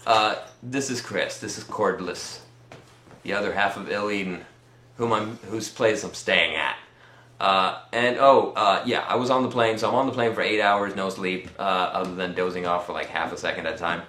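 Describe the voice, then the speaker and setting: A man with a Swedish accent telling a personal story in a casual, rambling vlog style, halting and pausing often as he goes. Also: low voice, pushed and bright, reverberant, a little hiss or hum. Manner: halting, conversational delivery with many pauses. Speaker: vlogger.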